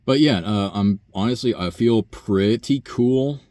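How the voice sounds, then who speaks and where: A young man talking through a rambling, casual personal monologue, profane and full of fillers and sighs, with a low buzzy voice. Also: a little slow, dark tone, no echo. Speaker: vlogger.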